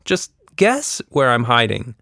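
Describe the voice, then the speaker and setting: A man voicing an easily bored little girl in a storybook, insistent, whiny and excitable. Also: mid-range voice, takes it slowly, creaky, no echo, clean studio sound, pitched above the performer's own voice, heavily acted. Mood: pleading.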